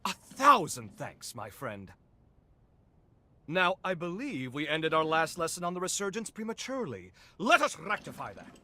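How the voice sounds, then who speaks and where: An actor voicing a pompous, eccentric scientist in a video game, with florid, condescending, theatrically polite speech. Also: male voice, fairly high pitch, wildly swooping pitch, moderate pace, bright, forceful tone, full character voice. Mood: gracious, satisfied. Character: eccentric, self-important revenant scientist, a theatrical lecturer. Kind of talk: video game cutscene acting.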